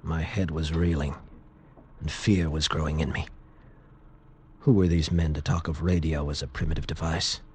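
A man's voice: very deep voice, fairly even intonation, normal speed, long pauses. A man acting in a 1940s radio drama as a tough lieutenant recounting a frightening ordeal in an earnest, intense way. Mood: fearful, haunted. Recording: noisy vintage radio recording.

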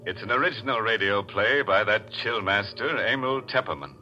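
An actor playing a gleefully morbid horror host, theatrical and sly in 1940s radio style. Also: male voice, on the low side, expressive, moderate pace, pushed and bright. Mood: ominous, teasing. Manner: theatrical, sly, tongue-in-cheek. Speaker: host and announcer. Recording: dull vintage radio, organ-era music behind.

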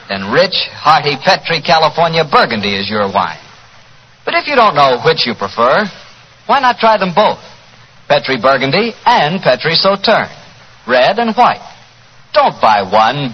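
A man, announcing and pitching a sponsor in 1940s radio advertising style. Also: sing-song, measured pace. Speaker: announcer and host reading sponsor ad. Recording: reverberant, muffled, noisy 1940s radio recording.

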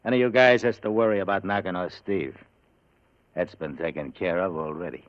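An actor playing a domineering, edgy gangster boss with an American accent and slangy, blunt talk, in 1950s radio crime drama style. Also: male voice, low voice, a little fast, noisy background, close to an everyday voice. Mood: smug. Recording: muffled old radio drama recording.

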